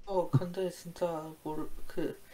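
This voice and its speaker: A woman's voice: lowish voice, level tone, takes it slowly, mellow. A woman playing an online word game, talking casually with the other players. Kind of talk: casual group game chat.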